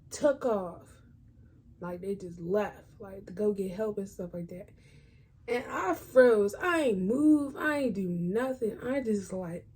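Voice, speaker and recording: A woman's voice: mid-range voice, darkish tone, husky. A woman vlogger telling a personal story in casual, colloquial speech, acting out what people said. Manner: informal, colloquial phrasing.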